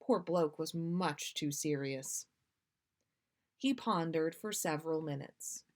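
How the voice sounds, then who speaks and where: A woman with a slightly low voice reads fan fiction aloud as a podcast host. Her storytelling is expressive, suited to a livestream story time. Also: takes it slowly.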